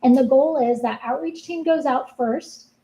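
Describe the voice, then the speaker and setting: A woman giving a soft-spoken, earnest presentation as a city program manager at a town hall meeting. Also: high-pitched, unhurried. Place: community meeting room.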